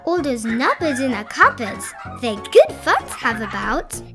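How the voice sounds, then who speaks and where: Female read-aloud narrator for children's rhyming books, high voice, playful and very lively, music underneath. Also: unhurried. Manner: expressive, playful delivery. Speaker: children's picture book reader.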